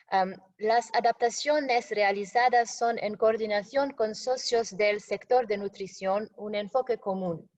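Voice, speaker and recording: A woman presenting slides in a webinar in a language that is not her native one, pausing often with fillers and restarting words. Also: a little high, a little mellow, dull audio. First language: not Spanish. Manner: halting, frequent fillers and self-corrections.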